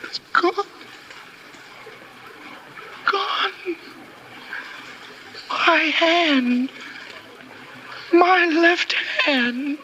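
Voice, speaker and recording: A man's voice: very high voice, very slow, long pauses, bright, forceful tone, muffled recording, a little hiss or hum, heavily acted. An actor playing an obsessive, egotistical male musician in old-time radio melodrama, with an intense, theatrical and insistent delivery. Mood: anguished, frantic. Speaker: lead actor and first-person narrator. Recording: vintage radio recording with music behind.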